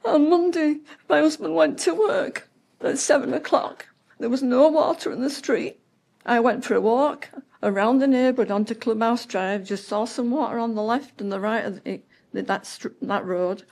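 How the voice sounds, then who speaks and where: A seventy-year-old woman giving public comment at a county meeting, distraught and close to tears, halting and breaking off mid-word. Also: on the high side, gravelly, darkish tone. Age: 70s.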